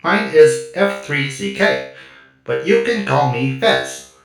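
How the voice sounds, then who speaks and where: A man voicing a huge, gentle, timid loading droid in a storybook, speaking slowly and earnestly. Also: medium pitch, huge pitch swings, vocal fry, reverberant, loud music behind the voice, heavily acted, low-quality recording. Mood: shy, hopeful. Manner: slow, drawn-out, simple earnest delivery.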